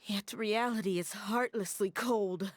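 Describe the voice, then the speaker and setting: A woman playing an earnest, wistful young female student in a video game, speaking in a soft-hearted, hesitant way. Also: medium pitch, unhurried, slight background noise, lightly acted. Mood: sorrowful. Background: background music.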